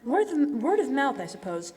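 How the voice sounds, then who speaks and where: Friend role for an actress: gossipy, breezy housewife, high singsong swings, old-style radio drama in a reverberant hall. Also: female voice, big pitch swings, moderate pace, darkish tone. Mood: gossipy, amused. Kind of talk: live stage radio drama in classic style. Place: on stage in a school hall. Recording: echoey hall, live stage performance.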